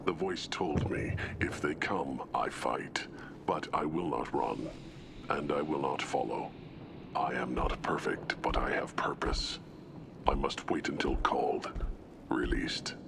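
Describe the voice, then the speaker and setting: An actor playing a solemn, childlike tank-bred alien brute in a video game, with a gravelly growl and halting, simple phrases. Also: male voice, whispered, full character voice. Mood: resolute. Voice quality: very deep, gravelly, breathy growl. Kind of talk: dramatic video game acting.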